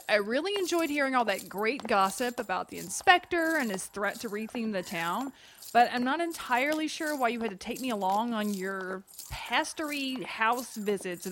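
A woman's voice: fairly high pitch, animated, moderate pace, a bit bright, a little hiss or hum. A woman playing a blunt, unimpressed small-town secretary in an audio comedy, casual and sarcastic. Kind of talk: audio comedy drama acting.

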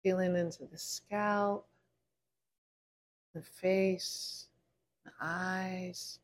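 A woman meditation teacher leading a guided body scan in a gentle, unhurried way, pausing between short phrases. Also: lowish voice, fairly even intonation.

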